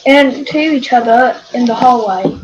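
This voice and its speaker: A woman's voice: on the high side, unhurried, a little hiss or hum, poor audio. A woman narrating a scripted story for readers theater.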